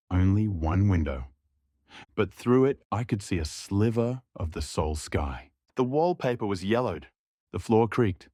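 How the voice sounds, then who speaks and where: Low, quiet young male narrator. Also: no echo, clean studio sound. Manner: quiet, reflective. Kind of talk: scripted first-person drama narration.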